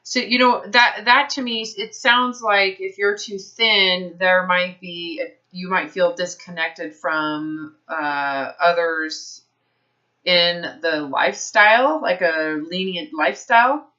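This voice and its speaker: Woman health advisor, low-pitched, firm and direct, on a muffled phone call. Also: steady tone, takes it slowly, pushed and bright. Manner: direct, probing questions. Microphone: phone held to mouth. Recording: dull lo-fi phone line.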